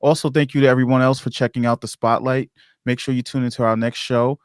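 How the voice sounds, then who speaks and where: A man's voice: mid-range voice, level tone, quick pace, clear, resonant tone, no echo, studio quality. A man hosting a casual live-streamed podcast, speaking in a conversational, appreciative way. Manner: conversational, appreciative, repeats his thanks. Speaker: podcast host.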